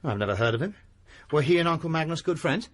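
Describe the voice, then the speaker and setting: A young actor playing an earnest, anxious nephew in a radio drama, urgent and sometimes sharp. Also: male voice, fairly high pitch, lively intonation, fast, lightly acted. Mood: concerned.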